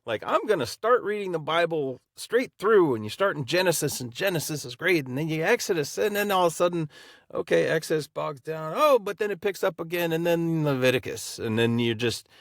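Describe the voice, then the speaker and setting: A man co-hosting a podcast who asks questions in a halting, friendly way. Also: a little high, animated, no echo, studio quality. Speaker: podcast co-host. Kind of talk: casual chat.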